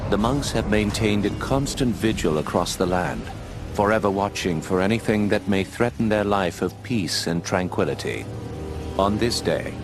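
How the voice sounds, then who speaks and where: A grave old owl mentor tells his tale in a deep, low voice, measured and unhurried. Clear game music plays loudly underneath, and his orders come out dry and stern. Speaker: narrator and mentor. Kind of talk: game cutscene voice acting. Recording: voice over loud game music.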